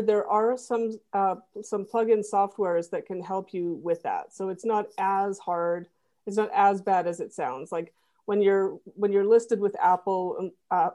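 A woman's voice: mid-range voice, warm tone. A woman guest on a podcast, talking casually with lots of fillers and restarts. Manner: rambling, many fillers and restarts. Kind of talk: casual chat.

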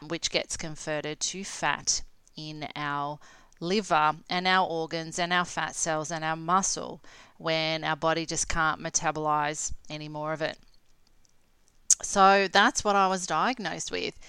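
Female, late 40s, Australian. Bright, clean tone, close dry sound; candid, casual solo storytelling. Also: deep voice, steady tone, a little slow, clear, resonant tone, no room sound. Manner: conversational, candid. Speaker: podcast host and health coach.

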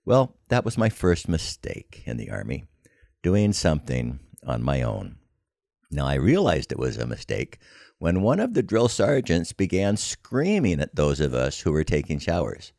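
An older American man telling a personal story in a scripted, wry, folksy and measured way. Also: a bass voice, huge pitch swings, gravelly, a little mellow, no echo, clean studio sound. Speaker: podcast storyteller.

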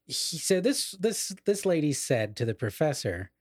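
A man's voice: fairly high pitch, very animated, measured pace, a little mellow, breathy edge, no echo, clean studio sound. A middle-aged man co-hosting a podcast, casually discussing literature in an erudite, digressive way full of asides.

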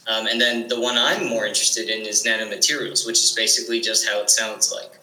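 A man's voice: lowish voice, fairly even intonation, fairly quick, sharp tone, reverberant, rough recording. A teenage boy answering interview questions eagerly, with frequent restarts and fillers. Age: teens. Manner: eager, restarts often, many likes and ums. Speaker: guest.

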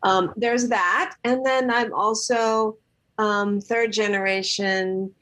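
A woman film director speaking in a podcast interview, talking thoughtfully with many pauses, fillers and restarts. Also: mid-range voice, steady tone, fairly bright tone. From Los Angeles.

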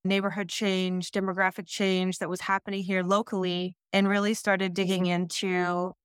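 A woman originally from the Netherlands, in her thirties, explains her work in a measured, steady way. Her voice is smooth and clear. It sounds dry and close, with no room around it. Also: medium pitch, dry recording. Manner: measured, explanatory, occasional restarts. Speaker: school district planning head. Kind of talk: interview answers.

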